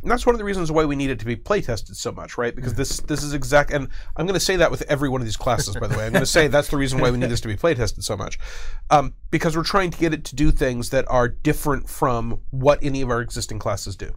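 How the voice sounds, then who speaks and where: A game designer guest speaks in a studio interview. He is a man with a medium-pitched voice. His explanations are wordy and emphatic, laid out deliberately. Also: expressive.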